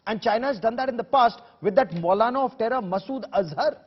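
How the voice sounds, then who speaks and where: Male Indian-accented debate host, very high pitched, combative, in dull reverberant sound. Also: fast, husky. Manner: combative, emphatic questioning with rhetorical repetition. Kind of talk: broadcast debate moderating.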